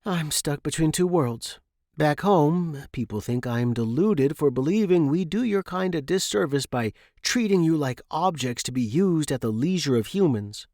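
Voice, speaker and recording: A man voicing an earnest, agitated young Victorian gentleman scholar with a proper, emphatic manner, in an audiobook story. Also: fairly high pitch, expressive, normal speed, dry recording, studio quality, pitched above the performer's own voice. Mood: troubled, earnest.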